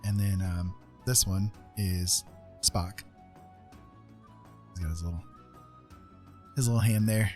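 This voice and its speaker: A man's voice: low-pitched, steady tone, slow, pauses often, a little mellow. A man hosting a live art stream in a welcoming, chatty way, talking casually to viewers. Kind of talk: casual livestream chat. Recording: faint music behind.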